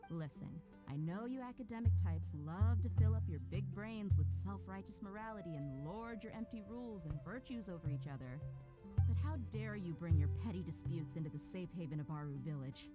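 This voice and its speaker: A woman's voice: fairly low pitch, lively intonation, brisk, close to an everyday voice. An actress playing a brash, tough female mercenary in a video game, speaking in a mocking, confrontational way. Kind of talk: video game cutscene voice acting. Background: game music.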